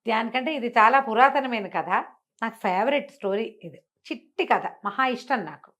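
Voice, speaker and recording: Female storyteller, higher register, expressive and chatty, fond of rhetorical questions. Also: normal speed. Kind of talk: conversational moral storytelling.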